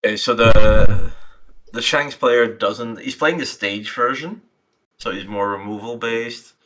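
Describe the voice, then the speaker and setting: A man's voice: medium pitch, a little slow, fairly bright tone. A man co-commentating a card game tournament stream, chatty and thinking aloud as he reads the board.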